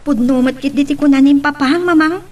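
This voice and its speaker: Dutiful young mother-to-be for Ilocano radio drama, played by a woman: high, girlish pitch, gentle, faint hiss behind. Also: fairly quick, close to an everyday voice. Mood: earnest. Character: young pregnant daughter, gentle, dutiful, respectful. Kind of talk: Ilocano radio-style family drama acting. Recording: faint background noise.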